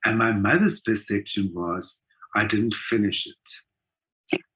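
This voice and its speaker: A man's voice: fairly low pitch, takes it slowly, a bit bright, slightly rough, rough recording. An older South African man speaking as an interview guest, telling stories in a casual, reflective way and often acting out quotes with emphasis. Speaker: interview guest, writer and performer.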